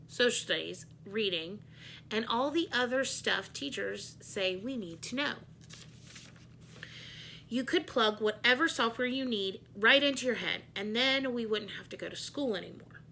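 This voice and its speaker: Woman reading as a bright-voiced wisecracking schoolboy. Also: fairly high pitch, creaky, slight background noise, full character voice, low-quality recording. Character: wisecracking schoolboy narrator who hates school. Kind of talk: children's book read-aloud.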